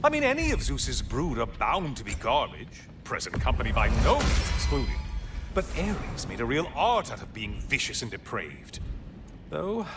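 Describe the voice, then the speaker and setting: An actor playing a gleeful, mocking tormentor in a video game, chatty, theatrical and sarcastic with mock innocence. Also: male voice, medium pitch. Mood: gleeful mockery. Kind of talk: dramatic video game acting.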